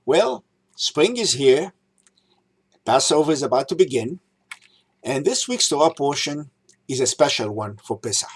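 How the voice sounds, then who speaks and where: Husky man in his sixties, from Egypt, reading a holiday talk, measured and reminiscing, lists things one by one. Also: fairly high pitch, animated. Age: 60s. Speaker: rabbi giving a holiday talk. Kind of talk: prepared talk read aloud.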